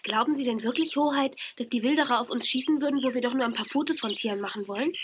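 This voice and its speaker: An actress playing a spirited, outspoken young animal lover in a children's audio drama. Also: female voice, on the high side, fast talker, lightly acted. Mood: reassuring, questioning. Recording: muffled, noisy old cassette audio.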